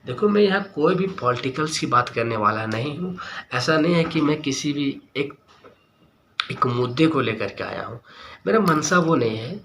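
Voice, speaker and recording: A man's voice: on the high side, expressive, moderate pace, fairly bright tone, a little hiss or hum. A man giving an earnest opinion monologue to viewers as a vlogger, speaking emphatically and repeating key words and phrases.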